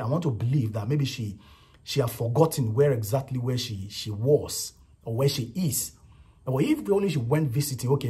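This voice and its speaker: Man, Nigerian accent, very husky voice, casual emphatic commentary, mid pitch. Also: dark tone. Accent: Nigerian English. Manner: repetitive, emphatic, frequent "you know" and "right". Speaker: vlogger commenting on a viral clip.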